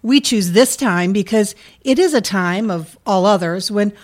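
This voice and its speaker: A woman's voice: medium pitch, measured pace, lightly acted. A woman playing a pleasant, genteel charity collector, polite, formal and earnest, in a radio play reading. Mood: earnest, appealing.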